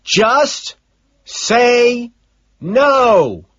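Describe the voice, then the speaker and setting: A soft, breathy young secretary woman, as a male narrator puts her on, pitched way up high above his own voice. She sounds hesitant and apologetic, tripping over her words. The recording is a little muffled. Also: animated, takes it slowly, no echo, heavily acted. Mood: worried. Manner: hesitant, apologetic, repeats herself.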